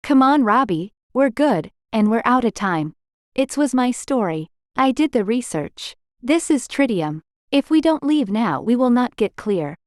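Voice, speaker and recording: A woman's voice: fairly high pitch, big pitch swings, unhurried, no room sound, studio quality. A woman playing an insistent, urgent newspaper reporter in a comic dub. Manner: insistent, pleading.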